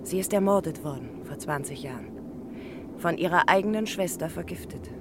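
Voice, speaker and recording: Woman acting gossipy middle aged ex-maid, lower voice, 60s radio play, loud train behind. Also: expressive, a little fast, close to an everyday voice. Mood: gossipy, confiding. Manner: chatty, gossipy. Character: chatty woman of thirty-nine, former housemaid. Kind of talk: 1960s German radio drama acting. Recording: 1960s mono radio, loud train noise. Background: rumbling train ride.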